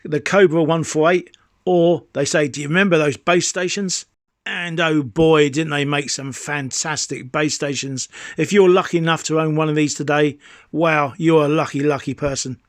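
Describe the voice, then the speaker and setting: A man's voice: a little high, fairly even intonation, moderate pace, no echo, clean studio sound. A man in his fifties presenting a hobby showcase in an enthusiastic, savouring, exclamatory way. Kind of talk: enthusiastic product showcase narration.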